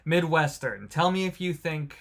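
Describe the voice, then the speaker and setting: A man's voice: a little high, animated, moderate pace, gravelly. Chatty, laughing male live-streamer. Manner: chatty, joking, greets viewers by name, laughs often. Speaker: host of a live news watch-along. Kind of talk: casual live-stream commentary.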